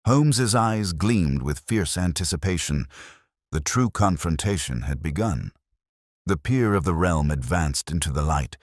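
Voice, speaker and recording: A male audiobook narrator with an extremely deep voice and wide, expressive intonation. He reads in a measured, literary style with dramatic weight, recorded dry and close. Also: dry recording. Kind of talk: scripted dramatic story narration.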